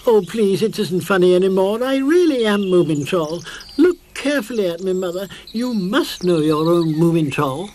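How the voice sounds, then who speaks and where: A man's voice: mid-range voice, big pitch swings, a little slow, slight background noise. A man voicing a cheeky young troll boy. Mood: pleading. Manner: cheeky, slangy talk. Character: young male troll, sulky then pleading.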